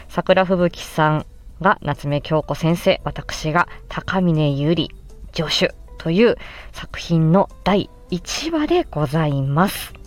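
A woman hosting a casual solo audio stream, talking in a chatty, polite, explanatory way. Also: lowish voice. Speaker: host and amateur voice actress.

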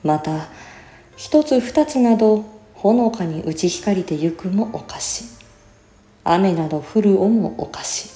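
Female literary reader, mellow timbre, careful diction and measured delivery, roomy sound with faint music underneath. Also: mid-range voice, animated. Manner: careful, clearly enunciated, measured. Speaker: reciter and voice actor. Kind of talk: literary recitation of a classical essay.

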